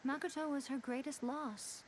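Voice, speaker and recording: A woman voicing a sly, poised, playfully vain fox spirit shrine maiden in a video game, measured and formal with a teasing manner. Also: high voice, fairly even intonation, a little hiss or hum, natural voice. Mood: composed, explanatory. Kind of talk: video game character dialogue. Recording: game music behind the voice. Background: game music.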